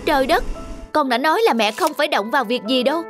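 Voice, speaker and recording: A busy working mother in a cartoon family drama. She is high-voiced, brisk and fussing, with an impatient edge over clear music. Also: expressive. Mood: exasperated. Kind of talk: animated family drama acting. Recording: music under the voice.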